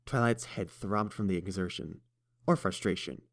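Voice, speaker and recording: A man narrating a story in a steady, even storytelling manner. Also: low-pitched, moderate pace, creaky. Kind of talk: fan audiobook narration.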